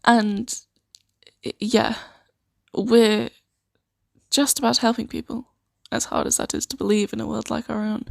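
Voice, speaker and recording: A woman playing a friendly, flustered sanctuary worker in an improvised fantasy ASMR roleplay, talking in a hesitant, halting, awkward way. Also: a little high, level tone, gravelly, no echo, studio quality. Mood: hesitant, reassuring. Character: friendly, flustered young female sanctuary worker named Claire.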